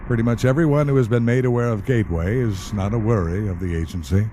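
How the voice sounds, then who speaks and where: An actor in an audio play as a calm, wry government specialist, speaking in a measured, matter-of-fact and dryly polite way. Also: male voice, lowish voice, a little hiss or hum, close to an everyday voice. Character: calm, wry male government specialist who questions the dead. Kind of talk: audio drama acting.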